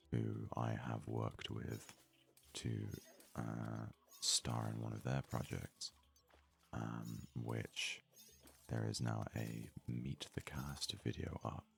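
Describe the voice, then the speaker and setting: A man softly narrating an ASMR video game let's play, rambling and thinking aloud, close to a sensitive microphone. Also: a bass voice, gravelly. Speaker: gaming video host. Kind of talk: whispery soft ASMR let's play commentary. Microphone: close, highly sensitive microphone.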